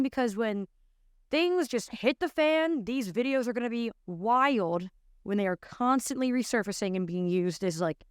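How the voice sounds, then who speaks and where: A woman's voice: a little high, smooth, no room sound. An American woman in her twenties giving emphatic, incredulous opinion commentary to camera, close on a studio microphone. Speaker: commentator and show host. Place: small indoor studio. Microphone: close studio microphone on an arm.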